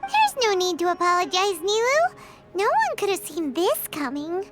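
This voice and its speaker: A woman's voice: very high voice, big pitch swings, normal speed. A woman voicing a tiny, chirpy fairy companion who talks of herself by name, in a video game. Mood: encouraging. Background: game music.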